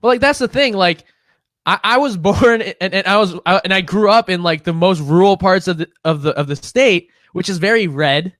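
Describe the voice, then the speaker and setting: A young man from Illinois co-hosting a podcast, chatting casually, profane and animated, laughing often. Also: high voice, moderate pace, no room sound. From Illinois, United States. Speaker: podcast co-host. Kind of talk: casual chat.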